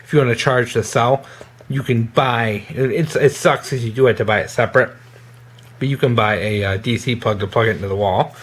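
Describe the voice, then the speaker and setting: Man, medium pitch, casual gear reviewer, informal halting delivery, hands-on product demonstration. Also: a bit bright.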